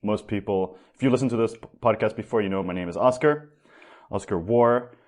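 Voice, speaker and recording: A young man hosts a podcast in a casual, upbeat way, loose with his words and now and then swearing. His voice sits very low. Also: a little fast, darkish tone. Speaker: podcast host.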